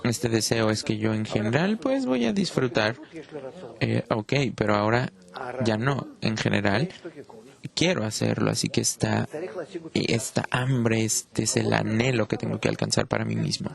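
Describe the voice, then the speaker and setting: Man, gravelly low voice, non-native speaker, halting lecture, many pauses, crowd noise behind. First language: not Spanish. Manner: halting, frequent "eh" pauses and restarts. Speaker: Kabbalah teacher. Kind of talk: unscripted lecture.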